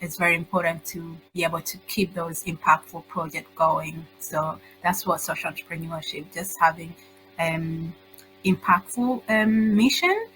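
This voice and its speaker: A middle-aged woman with a Tanzanian accent giving informal, halting answers as an interview guest. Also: lowish voice, fairly bright tone, faint music behind, poor audio. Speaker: guest, social entrepreneur.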